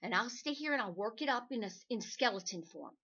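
A woman playing a brisk, pushy magazine editor in an old-time radio drama, talking in bossy slang. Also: on the high side, animated, bright, forceful tone, breathy edge, highs cut off, full character voice. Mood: eager. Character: brisk, pushy magazine editor hunting a human-interest story.

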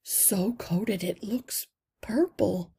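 A woman's voice: mid-range voice, drawn-out words, creaky, dark tone, husky, full character voice. A woman voicing an anxious, polite mother mouse who speaks in an earnest, worried way for a children's storybook. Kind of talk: children's storybook read-aloud.

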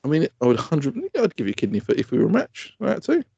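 A man's voice: a little high, huge pitch swings, very fast, vocal fry, mellow, husky, dry recording, dull audio. A man in his thirties co-hosting a comedy podcast, blunt and sweary in casual joking chat. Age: 30s. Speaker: podcast co-host.

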